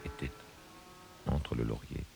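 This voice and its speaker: Male narrator, rock-bottom register, heavy fry, gravelly dramatic delivery. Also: a bass voice, steady tone, measured pace.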